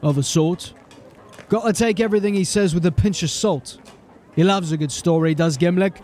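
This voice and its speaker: A male actor plays a seasoned sergeant of a fantasy city watch. He is probing and matter-of-fact, pitched high for a man. The audio drama puts faint outdoor noise behind him. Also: moderate pace, a little mellow, lightly acted. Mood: wry, matter-of-fact.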